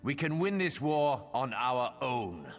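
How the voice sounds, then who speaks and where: A man playing an arrogant, hot-tempered young northern prince in a video game, boastful and scornful, with a gravelly voice. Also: mid-range voice, big pitch swings, slow pace. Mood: scornful, defiant. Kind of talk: video game character acting. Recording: long reverb, music behind.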